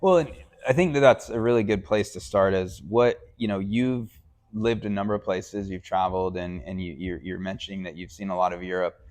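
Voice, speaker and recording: Male interview host, low register, conversational and chatty. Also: fairly quick, darkish tone.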